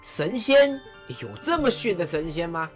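A woman's voice: on the low side, very animated, normal speed. Joking male warlord, bouncy delivery, music bed. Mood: teasing. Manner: teasing, playful, whiny.

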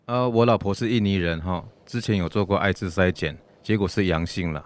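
A man's voice: low voice, soft background music, lightly acted. A man playing a working husband with a countrified Taiwanese accent and loose pronunciation, asking a worried question in a radio skit. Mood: worried, earnest. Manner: deliberately non-standard, rustic pronunciation. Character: working man asking about his foreign wife's health. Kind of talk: radio drama listener question.

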